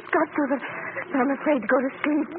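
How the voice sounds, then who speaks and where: Woman acting high-voiced, anxious young radio heroine, tinny. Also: fairly even intonation, quick pace, natural voice. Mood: distraught, pleading. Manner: hesitant, stammering, polite. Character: timid, anxious young female secretary, desperate and troubled. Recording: noisy, narrow-band old radio recording.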